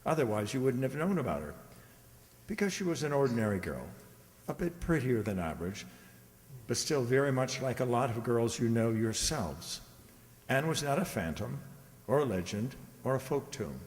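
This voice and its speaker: A man playing an earnest storyteller narrating a wistful radio drama in measured, emphatic sentences. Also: mid-range voice, big pitch swings, natural voice. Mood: solemn, measured. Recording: reverberant hall stage.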